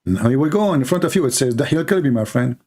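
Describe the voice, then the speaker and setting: A man's voice: medium pitch, lively intonation, fast, a little mellow. A man whose first language is Arabic, debating in English with insistent, repeated phrases. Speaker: debate host. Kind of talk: heated debate.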